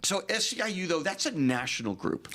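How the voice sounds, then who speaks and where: A man's voice: sits high, big pitch swings, a little fast, sharp tone, a little hiss or hum. A man in his fifties hosting a radio talk show, effusive and enthusiastic with his guests and callers. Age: 50s.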